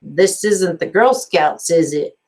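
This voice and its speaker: A woman voicing a gruff, taunting male camp boss in a storybook, scornful and bossy. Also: on the low side, animated, slow pace.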